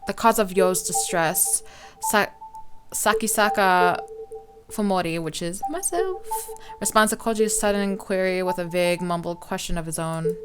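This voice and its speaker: A woman's voice: fairly low pitch, lively intonation, moderate pace. A woman hosting a let's play, reading a visual novel aloud informally, with some stumbles and game music behind her.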